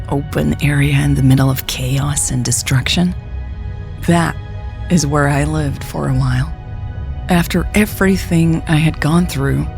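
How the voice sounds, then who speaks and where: A woman's voice: deep voice, creaky, a little mellow. A woman narrating a scripted first-person story for a podcast in an intimate, confiding way.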